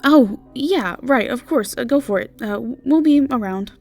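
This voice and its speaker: A woman's voice: a little high, lively intonation, unhurried, gravelly, a little mellow, soft background music, voice pushed up, full character voice. A woman playing an awkward, friendly, easily flustered young innkeeper who stammers in a fantasy audio roleplay.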